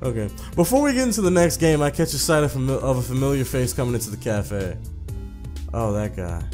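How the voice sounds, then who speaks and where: A man's voice: medium pitch, lively intonation, brisk, darkish tone, soft background music. A man casually commentating and reading a visual novel's text aloud as a let's player.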